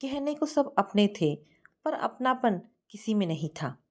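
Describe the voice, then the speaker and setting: A woman narrates an emotional family story from a script. Her mid-pitched voice moves through a wide, animated range as she tells the tale. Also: measured pace.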